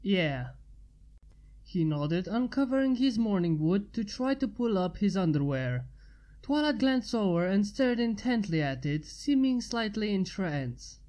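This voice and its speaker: A woman's voice: mid-range voice, very animated, unhurried, mellow. A woman narrating a scripted piece of fan fiction as its reader.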